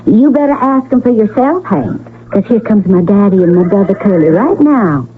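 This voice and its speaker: An actress playing a shy but spirited young frontier woman in a radio western, with a rustic American accent and a breathy voice. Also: mid-range voice, lively intonation, normal speed, lightly acted. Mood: anxious. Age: young adult. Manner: timid yet feisty rustic talk. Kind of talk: 1970s radio western acting. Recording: tinny, narrow old radio broadcast.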